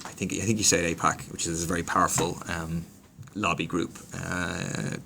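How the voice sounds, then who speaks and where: An Irish man answers viewer questions on a livestream, earnest and persuasive with lots of ums. His voice is fairly low but bright and forceful. A faint hiss sits under the recording. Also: little pitch movement, moderate pace, gravelly. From Ireland. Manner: reads comments aloud, frequent ums, earnest and persuasive. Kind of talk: casual livestream Q&A.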